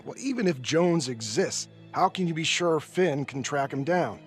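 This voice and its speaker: Actor doing a wry folksy judge guy in a crime game, swoopy higher voice, skeptical, bossy. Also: lively intonation, moderate pace, natural voice. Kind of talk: dramatic game acting with folksy bite. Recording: faint music under the voice.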